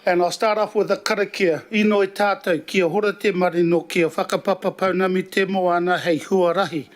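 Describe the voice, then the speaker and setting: A man with a New Zealand accent chairing a council committee meeting, pausing often with fillers and mixing in Māori phrases. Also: high voice, fairly even intonation. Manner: hesitant, many filler pauses; opens with a Māori prayer.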